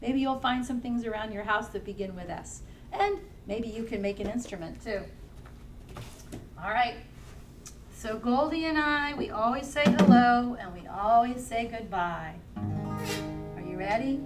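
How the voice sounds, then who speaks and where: High-voiced children's librarian, slow and gentle. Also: female voice. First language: English. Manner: sings nursery songs, switching between English and simple Spanish; gentle, encouraging, talks to young children. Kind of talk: singing storytime for families. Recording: somewhat distant from the mic, faint music.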